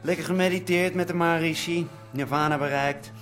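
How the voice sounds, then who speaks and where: A man's voice: fairly high pitch, fairly even intonation, brisk, a bit bright, faint music behind, lightly acted. An actor playing a mocking, sarcastic young man in a radio drama.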